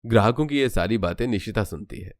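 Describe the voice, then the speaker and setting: A man's voice: on the low side, lively intonation, fast. A man narrating a cartoon story in a scripted storytelling style.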